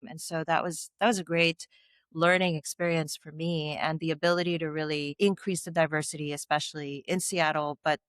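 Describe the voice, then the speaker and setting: A woman's voice: low voice, steady tone, a little fast, smooth, no room sound. A middle-aged woman from the Philippines, a former tech executive giving articulate, reflective interview answers with the odd light laugh.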